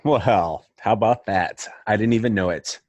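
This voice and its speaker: Male podcast host with a deep voice, recorded dry, casual conversational delivery. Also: normal speed, darkish tone, dry recording. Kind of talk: casual interview chat.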